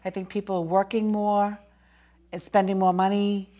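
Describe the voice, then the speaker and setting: A middle-aged woman with a Boston accent, hosting a local talk show interview, chatty and quick-talking with many restarts. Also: mid-range voice, level tone, dark tone.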